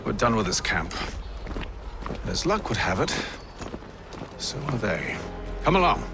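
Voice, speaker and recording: A man voicing a composed, measured gentleman who speaks with quiet menace, over a game score. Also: fairly low pitch, very animated, creaky, full character voice. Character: cool, composed British gentleman schemer. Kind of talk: video game cutscene acting. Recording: music score behind voice.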